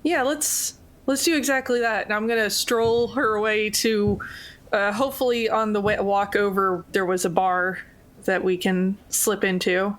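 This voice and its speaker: A woman's voice: medium pitch, fairly even intonation, unhurried. A woman chatting casually as a player in a tabletop roleplaying game, improvising with a wry, joking manner.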